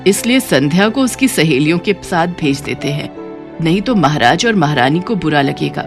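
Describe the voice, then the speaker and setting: A woman narrating a scripted animated moral story in an expressive storytelling manner. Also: sits low, moderate pace, music in the background.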